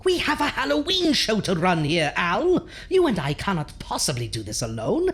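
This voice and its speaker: A woman's voice: lowish voice, wildly swooping pitch, moderate pace, a bit bright. A woman playing a bossy, sardonic horror show hostess in a campy audio drama, speaking theatrically. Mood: exasperated.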